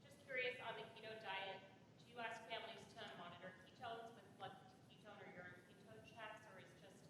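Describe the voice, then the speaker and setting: A woman in the audience asking the panel a question off-mic in a loud, projecting voice. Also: mid-range voice, steady tone, brisk. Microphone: off-mic, far from the mic. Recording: distant, echoing hall.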